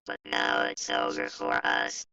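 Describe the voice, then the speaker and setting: Apocalypse drama: resigned, doomed man played by a male actor, utterly flat, very deep farewell, muffled lo-fi sound. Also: measured pace, full character voice. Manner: solemn, resigned. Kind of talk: amateur apocalyptic audio drama. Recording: dull, distant lo-fi with faint music.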